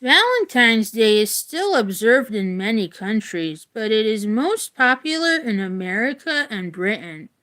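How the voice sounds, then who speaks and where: Slow-paced woman reading aloud. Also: fairly high pitch, big pitch swings, a bit bright. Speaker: educator reading a book aloud. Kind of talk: read-aloud of a children's nonfiction book with casual asides.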